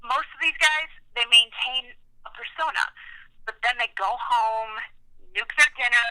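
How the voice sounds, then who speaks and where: Candid band manager, very sharp tone. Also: female voice, fairly high pitch, unhurried, rough recording. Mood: candid, weary. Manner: casual, hesitant, candid. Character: frank, jaded female record-label band manager. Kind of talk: acted interview in podcast drama.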